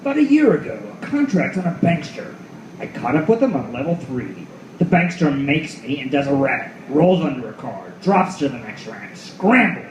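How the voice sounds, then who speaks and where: A man's voice: mid-range voice, very animated, normal speed, lightly acted. A man playing a cynical, world-weary detective who narrates his own noir radio play with profane, sardonic asides. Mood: cold, menacing. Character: hardboiled cynical male detective at the world's end. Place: live reading in a roomy venue. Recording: roomy live recording with faint noise.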